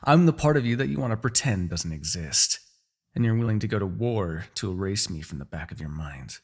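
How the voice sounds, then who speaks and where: A man's voice: lowish voice, lively intonation, fairly quick, slightly rough. A man playing a proud, bitter, centuries-old demon king in a fantasy audio roleplay, menacing, theatrical and sardonic. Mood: brooding, taunting.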